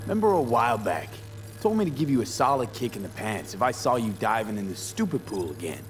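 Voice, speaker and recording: A man's voice: mid-range voice, big pitch swings, brisk, a little hiss or hum, close to an everyday voice. An actor playing a laconic, streetwise male mercenary in a video game, speaking in a clipped, dry, blunt way. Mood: skeptical, challenging. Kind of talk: dramatic video game acting.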